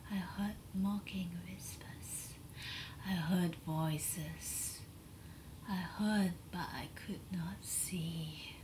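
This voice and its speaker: A woman voicing a seductive princess of a dark fantasy kingdom, telling her story as a whispered ASMR bedtime tale in a breathy, sensual, dramatic way. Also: mid-range voice. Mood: haunted, sensual. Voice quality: breathy, mostly unvoiced whisper. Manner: slow, sensual, dramatic pauses.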